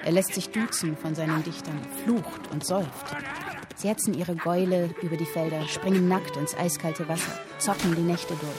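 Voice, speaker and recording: An actress playing a woman who reads a literary tale aloud in a measured, expressive storytelling manner, in a radio drama. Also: lowish voice, music in the background, close to an everyday voice. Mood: measured, intent. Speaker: narrator reading a literary account. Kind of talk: dramatic literary narration in present tense.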